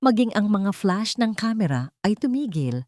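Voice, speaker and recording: A woman narrating a scripted romance drama with dramatic pauses. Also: mid-range voice, very animated, darkish tone, no echo, clean studio sound.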